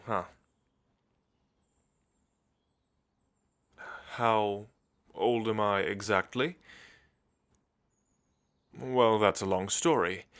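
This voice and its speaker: Casting: male actor as languid, world-weary immortal vampire for ASMR roleplay; lowish pitch, very unhurried, teasing elegance. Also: a bit bright. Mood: amused, musing. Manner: slow, theatrical, suave, with musing pauses.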